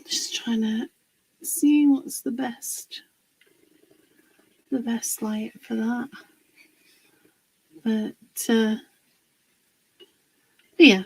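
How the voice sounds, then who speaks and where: Woman, bit hoarse, rambling chat, slow unhurried talk, dry close sound. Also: a little high, darkish tone, no room sound, poor audio. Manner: chatty, rambling, pauses with fillers while working. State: slightly hoarse from a cold. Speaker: crafter hosting a livestream. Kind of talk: casual livestream chat while crafting.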